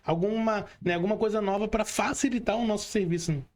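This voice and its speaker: A man's voice: high-pitched, fast, a little mellow. A Brazilian man hosting an online interview podcast in a casual, informal manner, often adding tag questions. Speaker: podcast host. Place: remote video call.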